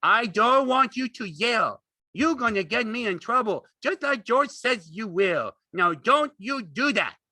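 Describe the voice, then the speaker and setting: Big childlike slow-witted farmhand, high-pitched. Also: male voice, sing-song, sharp tone, no room sound, full character voice. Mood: frightened anger. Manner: simple, earnest, ungrammatical rural speech. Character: big childlike slow-witted man, gentle, easily panicked. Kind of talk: audiobook character acting.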